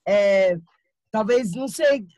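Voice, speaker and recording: A woman's voice: mid-range voice, takes it slowly, mellow, no room sound, clean studio sound. A woman in an acting course, thinking aloud and hesitating with many fillers. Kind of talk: reflective class discussion.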